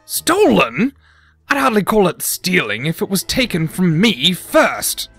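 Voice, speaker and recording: A man's voice: high voice, wildly swooping pitch, a little slow, fairly bright tone, husky, no echo, faint music behind, full character voice. A man playing an indignant, defensive male griffin who explains himself in an audio drama. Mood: indignant, explaining.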